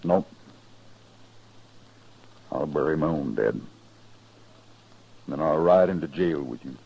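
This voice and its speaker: A man's voice: extremely low pitch, takes it slowly, creaky, husky, heavily acted. An American actor playing a stern, terse, grieving rancher father in an old radio western. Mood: grimly resolved. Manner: terse, commanding, grim. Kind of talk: 1950s radio western acting. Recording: dull vintage radio recording.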